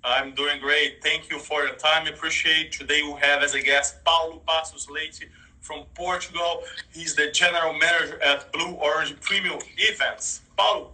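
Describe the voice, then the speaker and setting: A man's voice: on the high side, pushed and bright, distant mic, poor audio. A man hosting a livestream interview, introducing and questioning his guest over a call, recorded away from the mic. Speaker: interview host.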